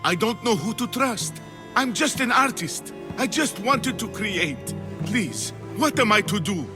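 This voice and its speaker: An actor playing an anxious, vain Greek artist in a video game, fretful and theatrical. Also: male voice, high voice, lively intonation, a little slow, music in the background. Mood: panicked. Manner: fretful, dramatic. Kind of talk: video game cutscene acting.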